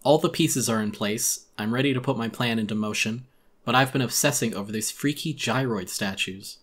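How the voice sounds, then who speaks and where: Male horror audiobook narrator: medium pitch, world-weary, brooding, dramatic first-person read. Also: normal speed, fairly bright tone, breathy edge.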